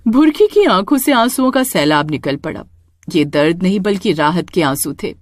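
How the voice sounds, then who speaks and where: Animated, low-pitched woman storyteller. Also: normal speed, no echo, studio quality. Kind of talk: dramatic scripted story narration.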